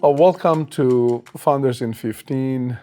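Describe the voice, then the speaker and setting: A man's voice: mid-range voice, slow pace, warm tone, soft background music. A man hosting a business interview, friendly and a bit hesitant, with frequent uhs and small laughs. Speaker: interview host and investor.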